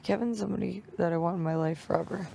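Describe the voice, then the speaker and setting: A young woman with a raspy voice, chatting casually in a teasing way. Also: fairly low pitch, fast, dark tone, a little hiss or hum. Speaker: reality show contestant. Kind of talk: casual late-night banter.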